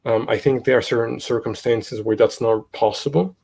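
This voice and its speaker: Young guy, kinda gravelly, lots of ums and restarts in a casual interview. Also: medium pitch, steady tone, a little mellow. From Italy. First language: Italian. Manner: halting, many fillers and restarts. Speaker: guest, podcast host.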